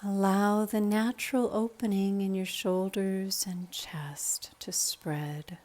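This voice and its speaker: A woman leading a guided meditation in a calm, measured, instructional manner. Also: mid-range voice.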